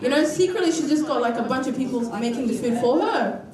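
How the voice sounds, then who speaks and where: A woman's voice: sits high, fast talker. Female stand-up from Somalia, chatty and self-mocking, distant in a roomy club. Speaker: stand-up comedian. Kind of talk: stand-up comedy set. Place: comedy club stage. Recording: roomy club sound from a distance with crowd laughter.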